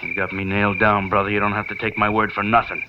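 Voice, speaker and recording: Noisy, muffled vintage radio sound, with a lowish male voice as an American thug played by an actor. He is surly and defiant, talking tough slang in old crime drama style. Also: flat delivery, fast, natural voice.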